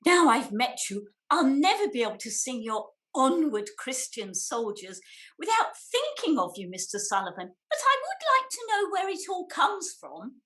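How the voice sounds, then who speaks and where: A woman's voice: sits high, sing-song, normal speed, sharp tone, exaggerated voice. An English woman acting an imperious, snobbish Victorian society mother in a radio play. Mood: gushing, insistent. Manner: haughty, grandly theatrical.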